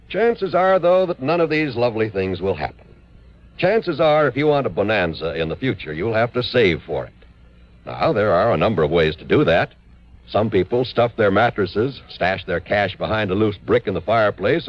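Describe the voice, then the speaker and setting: An American man reading a 1950s radio advertisement as an announcer, with polished, folksy salesmanship. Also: lowish voice. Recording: dull old radio recording.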